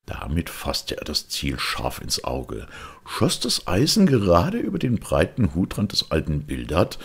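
A man narrates a literary audiobook in an extremely deep voice. It is husky and gravelly. He reads expressively.

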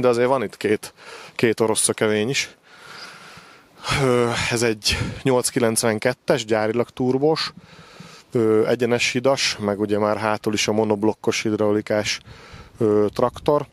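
A man's voice: fairly low pitch, no room sound. A man in his forties giving an unscripted sales walkthrough as a machinery dealer outdoors, with hesitant, repetitive phrasing. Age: 40s. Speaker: farm machinery dealer presenting stock. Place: outdoor gravel machinery yard. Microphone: handheld microphone with windscreen.

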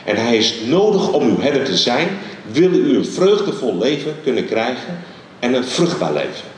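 Middle-aged male preacher, emphatic and pausing, handheld mic in a big reverberant church hall. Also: mid-range voice, recorded from a distance, slight background noise. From Netherlands. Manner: animated, emphatic preaching with many pauses. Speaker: guest preacher. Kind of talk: sermon. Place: large dark church hall stage. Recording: very echoey large hall.

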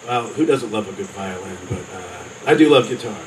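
A man's voice: lowish voice, animated, brisk. A wry man in his forties who answers with a joke close at hand. He sounds a little distant on an outdoor stage, with faint open-air noise behind him. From Cincinnati. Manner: wry, joking. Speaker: songwriter contestant.

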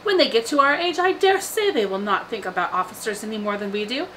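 An excitable, scheming middle-aged mother acted out by a woman reader, gushing and insistent in a bright, forceful voice. She is a fussy storybook matron, heard over some background noise. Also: on the high side, quick pace. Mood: fond, wistful. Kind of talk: audiobook character voice.

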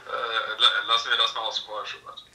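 Sharp-sounding man on echoey speakerphone. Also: on the low side, little pitch movement, a little fast. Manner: matter-of-fact, polite, hesitant. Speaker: sex shop salesman. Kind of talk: phone customer advice. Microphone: phone loudspeaker picked up in room. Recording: echoey phone on speaker, distant.

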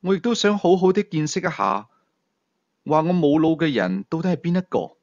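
A man with a Hong Kong Cantonese accent voicing a sharp, teasing, authoritative police team leader in an audio thriller. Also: on the high side, very animated, fairly quick, dry recording, dull audio.

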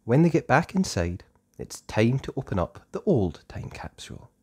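A woman hosting a storytime, reading a children's book aloud with animated expression. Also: extremely low pitch, slightly rough, no room sound. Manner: slow, animated delivery for small children. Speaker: storytime host and narrator.

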